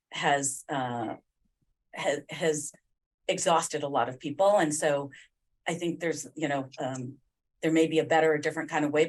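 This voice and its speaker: A woman hosting a podcast interview, talking in a loose, rambling way full of fillers. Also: low-pitched. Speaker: podcast host. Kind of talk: conversational interview.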